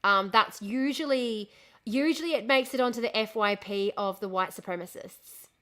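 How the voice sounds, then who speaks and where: Streamer woman, bright and slightly high, voice fading hoarse, swears a lot, casual. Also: moderate pace. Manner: rambling, swears often, answers chat comments. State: losing her voice from illness. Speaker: livestream host. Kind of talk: casual livestream chat with viewers.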